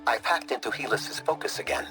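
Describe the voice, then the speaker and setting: An actor playing a dry, know-it-all tech expert in an animated game, deadpan, precise and formal. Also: male voice, mid-range voice, brisk, close to an everyday voice. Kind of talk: animated game cutscene acting. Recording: music behind the voice.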